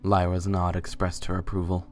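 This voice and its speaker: Casting: male storybook narrator, deep and flat in delivery, faint music bed. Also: normal speed. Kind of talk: scripted narration of a fan fiction story.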